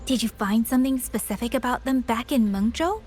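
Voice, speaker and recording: A woman playing a gentle, dutiful younger sister in a video game, with an earnest and polite way of talking. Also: fairly high pitch, a little fast, lightly acted. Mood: serious. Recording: music behind the voice.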